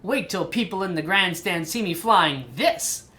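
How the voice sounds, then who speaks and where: A woman's voice: on the low side, a little slow, background noise, natural voice. A woman voicing a spirited, determined young girl inventor in a picture book. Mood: upbeat, self-assured.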